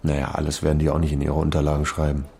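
A deep-voiced, musing detective. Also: male voice, completely flat delivery, very fast, creaky, dark tone, breathy edge, exaggerated voice. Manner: thoughtful, musing, talks it through. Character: older male police chief inspector, musing and weary. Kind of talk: radio drama acting.

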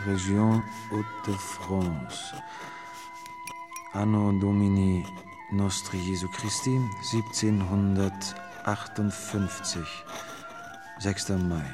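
A woman's voice: rumbling low, fairly even intonation. A woman playing a solemn cleric who recites blessings and register entries in a formal radio drama style. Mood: formal, ceremonial. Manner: slow, ceremonial, formal recitation. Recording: music behind the voice.

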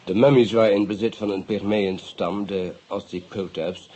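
British police officer, radio drama, husky, lowish pitch, courteous, guarded, factual delivery. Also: male voice, steady tone, mellow, low-quality recording. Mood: measured, informing. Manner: measured, polite, sprinkles English phrases.

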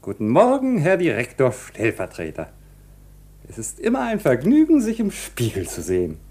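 An actor playing a fussy, self-important bank official grumbling to himself in a theatrical radio drama style. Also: male voice, on the low side, mellow, heavily acted. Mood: wry, self-satisfied. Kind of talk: classic German radio drama acting. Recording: faintly noisy old radio recording.

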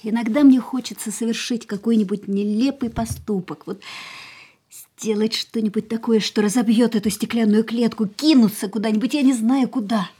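An actress as a restless high-voiced young woman, defiant. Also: steady tone, normal speed, dark tone, natural voice. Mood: wistful, restless. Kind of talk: radio drama acting. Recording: studio radio play with background music.

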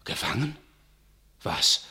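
A man playing a fiery, impetuous noble warrior in a classical radio drama, declaiming forcefully. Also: takes it slowly. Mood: agitated. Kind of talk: classical German stage-style radio drama. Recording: roomy echo, faint noisy ambience.

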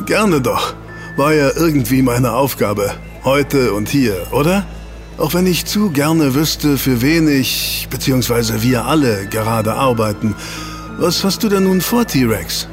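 A man's voice: mid-range voice, expressive, measured pace, music in the background, lightly acted. A man playing an eager researcher in a German audio drama, explaining to his team and teasing out reveals with rhetorical questions. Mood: casual, curious.

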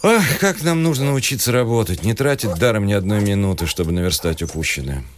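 A man's voice: fairly low pitch, animated, brisk, slight background noise, natural voice. A man acting an earnest, educated city professor in a radio play, articulate and insistently questioning. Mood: probing.

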